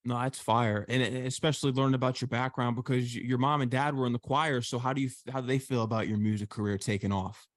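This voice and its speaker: A man's voice: mid-range voice, steady tone, quick pace, hardly any pauses, no echo, studio quality. A man hosting an interview show, asking eager questions with frequent stammered restarts. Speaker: radio show host, interviewer.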